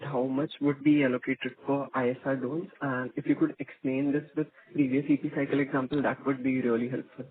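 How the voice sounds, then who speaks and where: A man with an Indian accent asking questions as an analyst on an earnings conference call. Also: medium pitch. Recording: thin telephone line.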